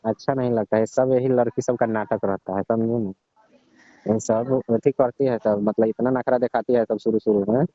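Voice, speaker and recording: Casting: male actor as insistent, sulky male teacher, mid-range pitch, comic call skit, dull lo-fi phone sound. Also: steady tone, normal speed, no echo, soft background music, natural voice. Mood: pushy. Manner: insistent, needling, colloquial. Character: pushy, leering male coaching teacher, wheedling and sulky. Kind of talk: casual phone conversation skit. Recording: muffled lo-fi phone call recording.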